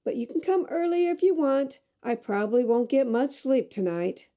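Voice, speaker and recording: A woman's voice: high voice, animated, normal speed, warm tone. A woman acting a stubborn, self-reliant woman in an audio drama, with an emphatic, headstrong way of talking. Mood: insistent.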